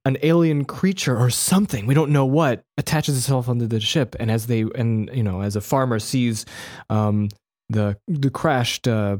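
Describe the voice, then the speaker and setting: A man's voice: mid-range voice, moderate pace, few pauses, a little mellow. A man co-hosting a casual film review chat, rambling along in a joking way and correcting himself as he goes. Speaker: podcast co-host.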